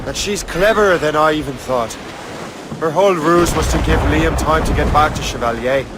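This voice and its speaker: An actor playing a brooding young Irish sea captain with a dry, terse manner, in a dramatic game cutscene. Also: male voice, on the high side, normal speed, heavy background noise, lightly acted. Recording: waves and ship ambience behind voice.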